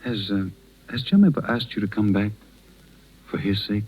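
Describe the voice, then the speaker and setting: An actor playing a kind, reserved gentleman with a hesitant manner in a 1930s radio drama. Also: lowish voice, lively intonation. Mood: tender, hesitant. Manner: hesitant, halting. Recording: muffled 1930s radio broadcast recording.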